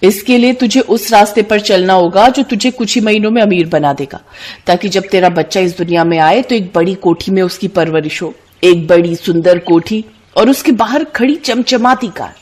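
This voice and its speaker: A woman's voice: mid-range voice, darkish tone, close to an everyday voice. A woman voicing a cunning, bossy young city woman who pushes and persuades her friend in a cartoon moral story. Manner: pushy, scolding, persuasive.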